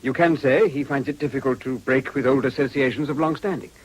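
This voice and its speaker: An actor playing a cautious, uneasy gentleman in a period radio drama, speaking in a formal, guarded way. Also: mid-range voice, steady tone, fairly quick, close to an everyday voice. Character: cautious, uneasy gentleman, a reluctant family confidant.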